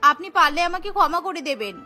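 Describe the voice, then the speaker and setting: A woman's voice: very high-pitched, animated, fast, sharp tone, soft background music, exaggerated voice. A woman voicing an earnest, flustered young daughter-in-law in a cartoon. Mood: apologetic. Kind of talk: cartoon voice acting.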